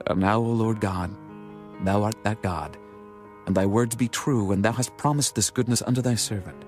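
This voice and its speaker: A devout ancient king, played by a man, low in pitch and humble in bearing. He speaks with earnest, measured reverence in a Bible drama, over soft music. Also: lightly acted. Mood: earnest, pleading. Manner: earnest, measured, formal prayer. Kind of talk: dramatized scripture reading.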